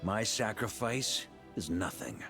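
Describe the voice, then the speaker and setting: Bold knight with sweeping intonation. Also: male voice, fairly low pitch, sing-song, takes it slowly, sharp tone, full character voice. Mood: assured, wry. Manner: theatrical, assured, wry. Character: bold seasoned knight commander, confident and warm-hearted. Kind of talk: dramatic game voice acting. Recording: music behind the voice.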